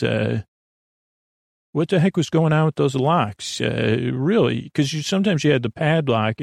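A middle-aged American man hosting a sleep podcast in a soothing, meandering monologue, halting, interrupting himself and trailing off. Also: medium pitch, creaky, a little mellow, dry recording, clean studio sound.

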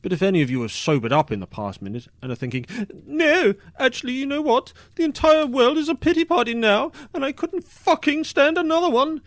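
A man's voice: very high-pitched, very animated, a little fast, few pauses, dry recording. An actor playing a bitter, scornful drunken man telling his tragic story theatrically in a fantasy audio drama. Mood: angry, menacing, then mocking mimicry. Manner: theatrical, scornful, swinging from mocking mimicry to hushed menace.

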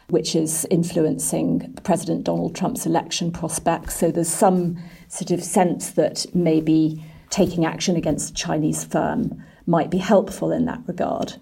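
A woman's voice: sits low, creaky, dark tone, husky. A woman working as a journalist and editor, giving explanatory answers in an interview. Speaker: business and technology editor.

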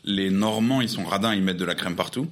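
A man's voice: deep voice, fast, background noise. A man, a podcast co-host, in casual drinking chat, joking and mocking his friends.

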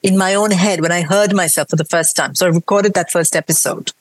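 A woman from India talks in a mixed accent, part Indian from Mumbai, Bangalore and Kerala and part British, with a slightly low voice. She speaks earnestly and with emphasis in a casual podcast chat. The sound is close and dry. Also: steady tone, fairly quick, no room sound, low-quality recording. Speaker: podcaster and guest.

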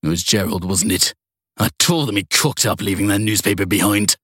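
Male narrator as cornered villain, indignant, raging, husky, dry close sound, audiobook crime story. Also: on the low side, a little fast, a bit bright, dry recording. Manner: spitting, indignant outbursts. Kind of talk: audiobook character dialogue.

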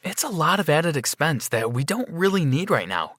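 A man's voice: fairly high pitch, sing-song, moderate pace, fairly bright tone, no echo, studio quality, voice pushed up. A man voicing a practical, fretful middle-aged mother in a narrated story, insistent and questioning. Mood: firm, worried.

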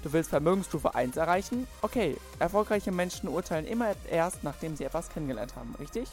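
A man's voice: on the high side, animated, fast talker, soft background music. A man delivering a podcast's promotional outro in a punchy, salesy way.

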